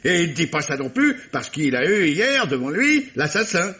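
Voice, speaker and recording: An actor playing a wily, insistent police commissioner in an old French radio mystery, phrasing hesitantly and repetitively, then with command. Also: male voice, fairly high pitch, big pitch swings, normal speed, sharp tone. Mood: insinuating. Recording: muffled vintage radio recording, faint noise.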